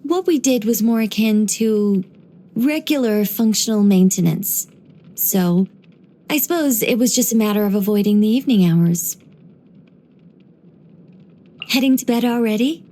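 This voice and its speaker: A woman voicing a gentle, wry former angel turned human storyteller in a video game, musing and lightly teasing in an unhurried way. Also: mid-range voice, natural voice. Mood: playful, musing. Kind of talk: scripted video game character voice lines.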